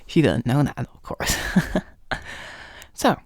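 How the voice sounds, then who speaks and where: A young British man giving a playful ASMR roleplay tutorial straight to the listener, mock-instructive and slipping at times into breathy whispers. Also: medium pitch, very animated, slow pace, no echo, clean studio sound. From United Kingdom. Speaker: ASMR roleplay creator and host.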